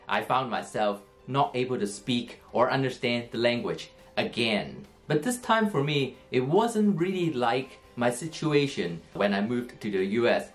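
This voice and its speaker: Man, low earnest voice. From Hong Kong. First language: Cantonese. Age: 30s. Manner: earnest, explanatory, with many fillers and restarts. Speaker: English teacher. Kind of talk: personal story talk to camera.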